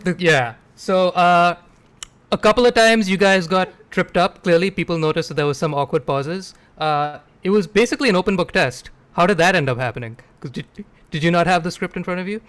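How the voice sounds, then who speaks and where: A man with a clean, smooth and fairly high voice. He talks casually as a moderator putting questions to a group. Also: brisk, fairly bright tone. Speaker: moderator relaying audience questions. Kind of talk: casual chat.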